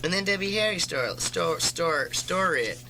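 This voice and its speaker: A man's voice: a little high, expressive, a little slow, rough recording. A man being interviewed as a musician guest on a campus radio show, rambling with wry jokes and asides.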